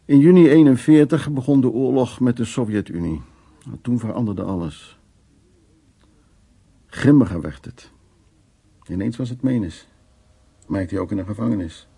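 An actor playing an older man, a brooding war survivor telling his memories in a radio drama with a gravelly, husky, halting delivery. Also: medium pitch, animated, warm tone. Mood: grim, reflective.

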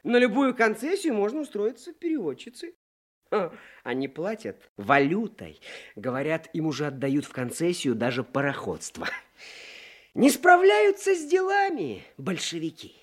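A smug young man about town in a radio drama. His delivery is breezy and patronizing, leaping all over in pitch. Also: huge pitch swings, moderate pace, full character voice. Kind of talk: Soviet radio drama acting.

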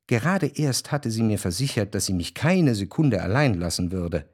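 A male actor as the reflective first-person narrator of a literary radio drama, speaking in a measured way. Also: on the low side, expressive, dry recording, studio quality. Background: faint music.

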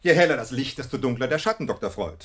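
An actor playing a proud, coolly rational master detective in a German radio drama, speaking in a precise, theatrical and imperious way. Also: male voice, mid-range voice, lively intonation, fast, natural voice. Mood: coolly ironic.

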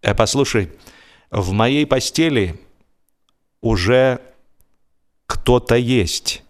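Casting: male narrator as earnest, puzzled young drunk in an audiobook comedy, halting speech, ordinary male pitch. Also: expressive. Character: Tom, tipsy young man, slow, earnest, puzzled.

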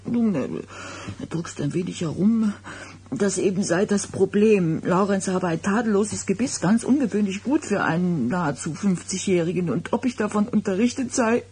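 Anxious pregnant wife, easily hurt, husky clouded voice, radio play acting. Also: female voice, medium pitch, lively intonation, moderate pace, warm tone. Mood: distraught. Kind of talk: German radio drama acting.

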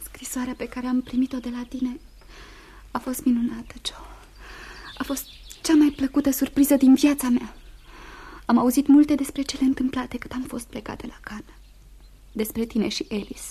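An actress playing a passionate, jealous young woman in love in a Romanian radio play, with a breathy, dramatic delivery. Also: high-pitched, steady tone, pauses often, dark tone. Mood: tender. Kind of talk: Romanian radio theatre acting.

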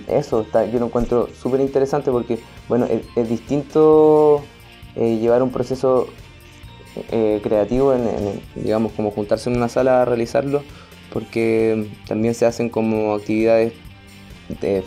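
A man's voice: mid-range voice, steady tone, moderate pace, mellow, faint music behind. A man with a Chilean accent talking casually as an interview guest, in an informal, colloquial and hesitant way. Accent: Chilean Spanish. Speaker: podcast and theatre artist interviewed as guest.

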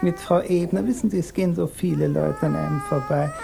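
An actor playing a greying, condescending husband in a 1950s German radio drama, speaking in a halting, self-satisfied, awkwardly jovial way. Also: male voice, fairly high pitch, fairly even intonation, vocal fry. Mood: awkwardly polite. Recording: noisy 1950s radio recording.

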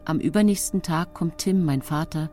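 An actress in a German radio play voicing a coldly scheming, pious-sounding mother, narrating her own story in a measured, confessional murmur. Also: low-pitched, warm tone, faint music behind, close to an everyday voice. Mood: hushed grieving.

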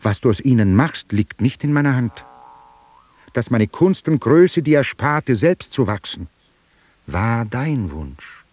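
An airy, boastful djinn from a radio drama. The voice is husky and moves in wide, lively swings. He declaims with theatrical mockery, relishing his own grandeur. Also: mid-range voice, slow, no room sound. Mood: solemn, insistent. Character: boastful, taunting djinn, a conjured airy spirit. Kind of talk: literary radio drama acting.

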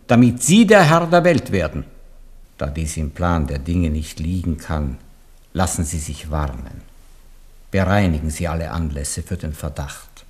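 An actor playing a shrewd, stern old papal legate in a radio play, speaking in a measured, solemn way. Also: male voice, medium pitch, darkish tone, close to an everyday voice. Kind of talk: 1970s radio play acting.

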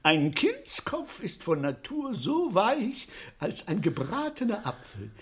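A man's voice: high voice, huge pitch swings, slow, fairly bright tone, breathy edge, full character voice. An actor playing a self-assured, wordy doctor who boasts of his science and defends himself pedantically in a German radio play. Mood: boastful, pedantic.

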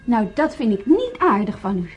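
An actress playing a cheerful, patient young nurse on a hospital ward in a radio play. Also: female voice, a little high, big pitch swings, normal speed, slightly rough, soft background music, heavily acted. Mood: reassuring, patient. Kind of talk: naturalistic radio play acting.

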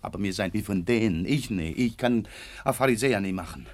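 An actor playing a gentle, pious, devoted suitor in a German radio tragedy, earnest and anxious, with a Silesian accent. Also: male voice, lowish voice, animated, brisk, lightly acted. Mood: pleading, tender. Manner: earnest, pleading. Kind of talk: German radio play acting, dialect tragedy.

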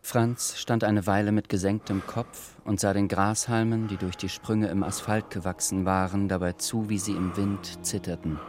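A male narrator with a lowish voice reads literary prose for a radio drama. He is measured and matter-of-fact, and music plays softly behind him. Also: steady tone. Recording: studio voice over music.

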